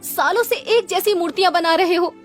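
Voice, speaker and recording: A woman's voice: very high voice, normal speed, faint music behind, voice pushed up. A woman voicing a poor sculptor's weary, scolding wife in a cartoon story, complaining and reproachful.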